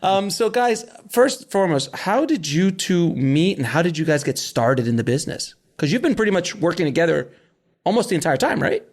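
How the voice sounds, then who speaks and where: A man from South Florida hosting a podcast, chatty and quick to laugh, often restarting his sentences. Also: fairly high pitch, very animated. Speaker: podcast host. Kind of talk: casual interview chat.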